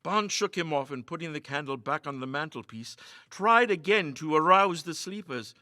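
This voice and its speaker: Forceful male ghost story narrator. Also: fairly high pitch, lively intonation, normal speed, no room sound, clean studio sound. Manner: theatrical, suspenseful. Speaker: story reader.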